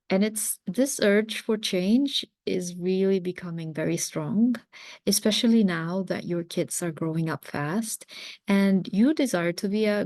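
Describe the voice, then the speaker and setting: A woman's voice: lowish voice, a little mellow, dry recording. A woman of around forty, giving an earnest, reflective personal talk as a coach. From mountains of Lebanon. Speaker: parenting coach, series host. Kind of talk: personal talk introducing a series.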